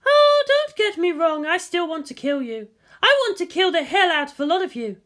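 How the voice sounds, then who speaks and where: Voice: very high voice, expressive, moderate pace, fairly bright tone, voice pushed up. A narrator voicing a bitter, menacing and weary female military commander in an audiobook story, with a taunting delivery. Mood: menacing, seething. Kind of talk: dramatic audiobook character voice.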